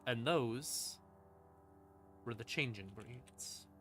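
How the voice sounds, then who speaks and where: A man streaming an informal lore explainer as a storyteller, with a theatrical, halting delivery and dramatic pauses. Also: medium pitch. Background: eerie ambient music.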